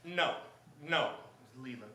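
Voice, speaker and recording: A man's voice: medium pitch, lively intonation, takes it slowly, sharp tone, distant mic. A man playing an exasperated, stubborn rival shopkeeper with an emphatic, repetitive delivery in a radio drama. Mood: exasperated, defiant. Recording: echoey stage, off from mic.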